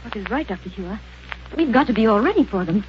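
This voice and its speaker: An actress playing a plucky young woman in a 1930s sci-fi radio drama, speaking in a brisk, eager way. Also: medium pitch, lively intonation, lightly acted. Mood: tense. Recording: dull old radio recording with hiss.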